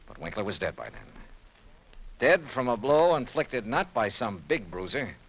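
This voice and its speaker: Radio noir: wry hard-boiled investigator, dramatic swings in pitch, muffled vintage recording, faint music. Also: male voice, very animated, breathy edge. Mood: accusing, deliberate. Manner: clipped, deliberate, dramatic. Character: hard-boiled male insurance investigator, wry, reflective narrator. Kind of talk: 1950s radio detective drama acting.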